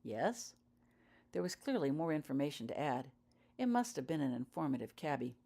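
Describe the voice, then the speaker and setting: A woman's voice: low-pitched, big pitch swings, brisk, gravelly, mellow. A woman reading her own fiction aloud as audiobook narration, telling the story in character-focused prose.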